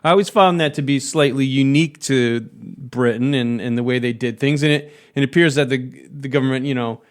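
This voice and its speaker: A man's voice: medium pitch, brisk. An American man hosting a podcast interview in a casual, chatty, discursive way. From United States. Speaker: podcast host.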